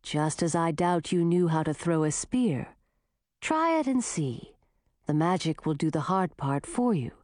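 A cool, aloof female forest spirit, as a woman reads her, calm and measured in every word. The voice sits low and unhurried, with a lively lilt, and the recording is close and dry. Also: no echo. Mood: calm knowing.

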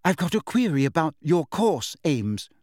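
A bright, outspoken schoolgirl, as a man reading a story puts her on, speaking in a raised, firm voice. She presses her point bluntly and with conviction. The sound is dry and close. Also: on the high side, sing-song, normal speed, no echo, pitched above the performer's own voice. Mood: earnest. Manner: insistent and outspoken. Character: clever, earnest teenage schoolgirl, blunt.

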